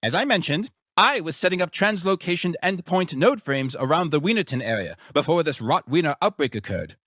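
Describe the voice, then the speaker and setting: An actor playing an eccentric, grandiose mad scientist in a video game, secretly villainous, with a theatrical, pompous, wordy manner. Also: male voice, a little high, big pitch swings, brisk, fairly bright tone, dry recording, clean studio sound. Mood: explanatory, pompous. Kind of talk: video game character voice acting.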